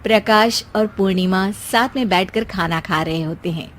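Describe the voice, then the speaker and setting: A woman's voice: mid-range voice, moderate pace, noisy background. A woman storyteller reading a scripted domestic story as narrator.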